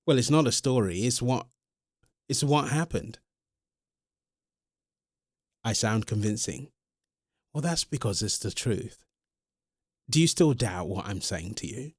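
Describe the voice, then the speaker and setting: Guy acting as an old vampire boyfriend, male, asmr roleplay, talks real slow and hesitant, exasperated but reassuring. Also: medium pitch, lively intonation, no room sound, studio quality. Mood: reassuring, earnest. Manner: hesitant, halting, pleading, addresses listener directly.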